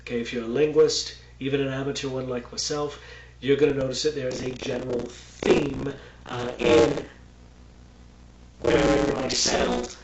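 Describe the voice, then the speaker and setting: A man's voice: mid-range voice, little pitch movement, moderate pace, vocal fry, roomy sound, heavy background noise. A man from Texas giving a casual, rambling Bible lesson with many fillers and asides. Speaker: Bible teacher.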